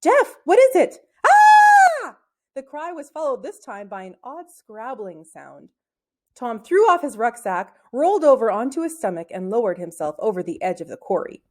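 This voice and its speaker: A woman reading a children's novel aloud as an expressive storybook narrator. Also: on the high side, normal speed. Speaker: storybook reader.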